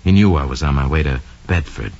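A very deep-voiced, smug killer. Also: male voice, brisk, vocal fry, darkish tone, exaggerated voice. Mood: smug, calculating. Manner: sly, cold, boastful, slangy. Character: smug scheming young male murderer confessing his crime. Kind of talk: 1940s radio drama monologue. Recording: dull lo-fi old radio recording.

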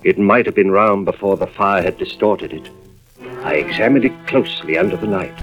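A man's voice: low voice, normal speed, close to an everyday voice. An American actor playing an intent, hard-boiled male arson investigator in an old radio drama, with terse delivery. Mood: tense, suspenseful. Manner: terse, suspenseful first-person narration. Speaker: lead actor and first-person narrator. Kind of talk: 1940s-50s radio drama acting. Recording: dull old radio recording, music behind.

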